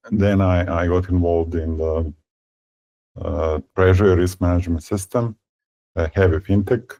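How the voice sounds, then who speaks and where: A man in his fifties from Serbia, speaking as a guest in an interview. He talks haltingly, with many fillers and repeated words. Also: sits low, mellow, low-quality recording. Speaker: tech company founder, guest. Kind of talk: conversational interview.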